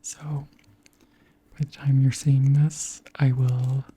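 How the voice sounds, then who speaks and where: A very breathy, whispery voice of someone around thirty, very dark and soft in tone. The delivery is slow and gentle, a conversational ASMR chat about products, spoken close to the microphone. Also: mid-range voice, flat delivery. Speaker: ASMR beauty creator.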